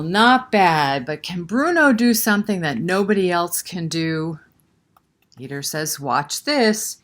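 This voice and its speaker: Slow-paced woman reading to children. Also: lowish voice. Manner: speaks directly to young children, asking questions. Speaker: library storytime host. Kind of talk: children's picture-book read-aloud and chat.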